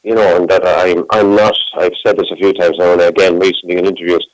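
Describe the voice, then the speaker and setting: A man's voice: low-pitched, flat delivery, fast, no echo, poor audio. A middle-aged man, a singer, chatting in a phone interview and rambling with many restarts. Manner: rambling, warm self-talk with many restarts.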